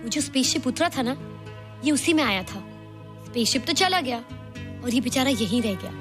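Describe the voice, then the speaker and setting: A woman's voice: sits high, expressive, moderate pace. An actress playing a kind, thoughtful young woman in a Hindi film, with faint music behind her. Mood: gentle, explaining.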